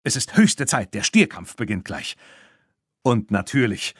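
A man voicing a smooth French horse dealer in an audiobook, courteous and ceremonious. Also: fairly low pitch, big pitch swings, measured pace, no echo, studio quality, heavily acted. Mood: cheerful. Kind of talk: audiobook character reading.

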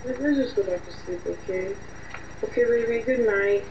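A woman's voice: mid-range voice, normal speed, natural voice. A woman playing a young woman who hides her lover from her mother, flustered and pleading, her voice cracking. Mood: apologetic. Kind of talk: radio drama acting. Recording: slightly distant, muffled, faint hiss.

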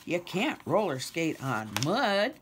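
A woman's voice: fairly low pitch, big pitch swings, slow, a little hiss or hum. A woman voicing an eager, naive young male animal in a children's storybook.

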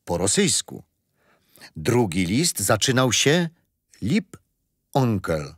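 An actor playing a patient, gentle grandfather telling children a history story in a radio play. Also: on the low side, big pitch swings, takes it slowly, slightly rough, no echo, studio quality, full character voice. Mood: patient, fond. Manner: gentle, didactic.

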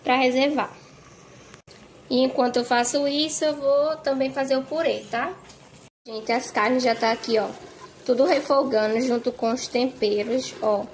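Woman, home cooking vlog, slightly high voice, phone recording in a home kitchen. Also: steady tone, unhurried, a little hiss or hum, rough recording. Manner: chatty, tags phrases with "tá?" and "gente". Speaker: home cook vlogger. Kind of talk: casual cooking narration.

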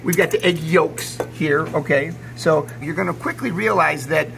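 A middle-aged man presents a recipe in a chatty, informal way, stressing his points as he goes. His voice sits fairly high for a man. He is recorded in an indoor kitchen with clear background noise. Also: normal speed. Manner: chatty, emphatic, informal, often checking in with "okay?". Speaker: chef presenting a recipe video. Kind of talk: casual cooking demonstration. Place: indoor commercial kitchen or sandwich shop.

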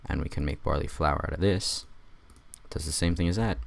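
A man just out of bed with a raspy voice, rambling through a casual let's-play commentary with wry jokes. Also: extremely low pitch, a little fast. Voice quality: raspy, gravelly. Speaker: gamer doing a let's play.

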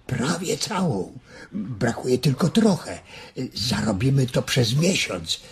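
An older man acting a stubborn, aging ranch hand in a radio drama, stammering and halting as he speaks. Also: medium pitch, very animated. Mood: proudly insistent. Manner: stammering, hesitant, halting speech. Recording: noisy radio play recording.

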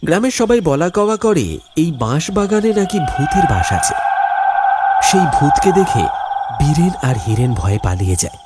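A man's voice: fairly high pitch, big pitch swings, moderate pace, very noisy. A man narrating a scripted horror story in a theatrical, suspenseful way.

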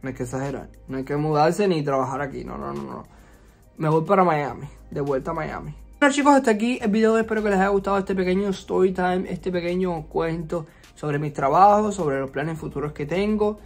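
A young man from Cuba with a Cuban Spanish accent and a notably high-pitched voice. He talks in a chatty, expressive way, full of fillers and playful sass, like a vlogger telling a story. Faint music plays underneath. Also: brisk, gravelly. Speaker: YouTuber. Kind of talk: casual storytime vlog. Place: resort vacation rental.

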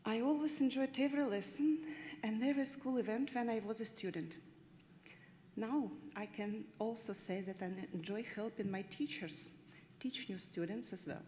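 A woman's voice: a little high, moderate pace, dark tone. A woman from Russia with a Russian accent, reading an earnest, heartfelt speech at a podium. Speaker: teacher assistant. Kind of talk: prepared graduation speech. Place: large school hall. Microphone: podium mic. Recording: reverberant hall, faint background noise.

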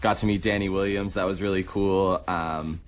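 A young adult man from Canada chatting casually and a little haltingly as a radio host in a studio. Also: low-pitched, steady tone. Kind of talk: casual chat.

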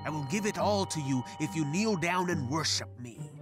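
A man's voice: a little high, lively intonation, normal speed, over music, close to an everyday voice. A man voicing a cunning, commanding devil who tempts, in a children's cartoon story. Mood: tempting.

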